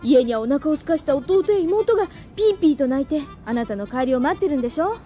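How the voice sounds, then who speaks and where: A woman playing a plucky, cheeky high-school girl with worries, in a Japanese radio drama. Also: lively intonation, fast talker, dark tone, muffled recording, soft background music.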